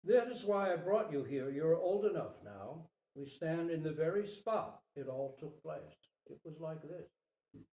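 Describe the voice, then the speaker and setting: A gentle mother doe from a storybook, played by a man, patient and reassuring as she explains. The delivery is unhurried and soft-edged, heard in a roomy space. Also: fairly high pitch, animated. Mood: solemn. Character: patient, gentle mother doe telling her child history. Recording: roomy, distant microphone.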